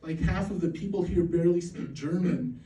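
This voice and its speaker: A man's voice: high voice, normal speed, mellow, slight background noise. Rambling self-mocking comic in his forties. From Poland. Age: 40s. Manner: rambling, self-mocking, with crude punchlines and frequent restarts. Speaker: stand-up comedian. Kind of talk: stand-up routine. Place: comedy club stage. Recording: roomy venue sound from a distance.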